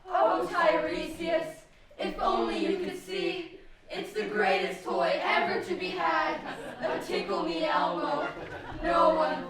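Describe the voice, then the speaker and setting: A young woman playing a grandiose, scolding kindergartner in a mock-tragic stage comedy, declaiming melodramatically. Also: extremely high pitch. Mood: awed. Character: grandiose, scolding kindergarten child at a birthday party. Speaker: amateur stage actor. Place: indoor stage, recorded from afar. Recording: echoey hall, distant mic, loud noise.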